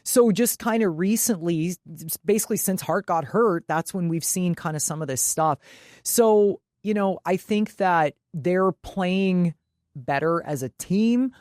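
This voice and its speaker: A woman's voice: on the low side, unhurried, a little mellow, no echo, clean studio sound. A woman co-hosting a sports podcast, talking casually and emphatically with loose swearing. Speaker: podcast co-host.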